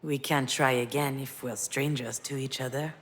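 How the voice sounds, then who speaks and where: A woman's voice: very deep voice, fairly even intonation, normal speed. An actress playing a wistful, wounded woman parting from her ex, speaking in a reflective, intimate way in a naturalistic game performance. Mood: tender, regretful. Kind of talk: naturalistic visual novel voice acting.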